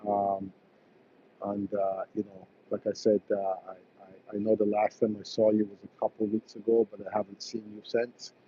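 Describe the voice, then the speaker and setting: A man from Barbados runs a casual live stream, chatting hesitantly with viewers and pausing on many ums and uhs. His voice is low and flat, with little rise and fall, and the lo-fi stream audio sounds dull. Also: slight background noise. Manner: hesitant, many fillers and restarts. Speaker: electrician hosting a live stream.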